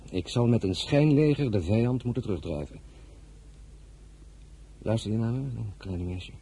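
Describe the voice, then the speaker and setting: A French Revolutionary general for an audio drama. The voice is fairly low, commanding and measured, with a tender husband underneath. Faint noise sits behind it. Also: male voice, animated, dark tone. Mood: tender, firm.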